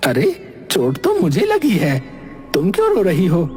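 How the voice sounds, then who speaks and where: An actor performs a playful male cartoon animal with a slow, drawn-out delivery. The mid-pitched voice swings wildly and theatrically, with dramatic exaggeration over music. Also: huge pitch swings, mellow. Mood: sympathetic, playful. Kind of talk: Hindi cartoon character dialogue.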